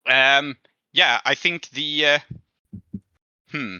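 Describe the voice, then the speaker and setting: A man's voice: mid-range voice, extremely slow, pushed and bright, clear, resonant tone, no echo, lo-fi sound. A man acting as game master, casually narrating and explaining rulings in a conversational way.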